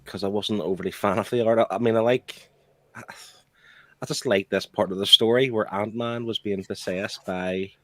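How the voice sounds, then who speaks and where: A man's voice: fairly low pitch, fairly even intonation, moderate pace, dry recording. A man co-hosting a podcast, talking casually in a book club discussion. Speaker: podcast co-host.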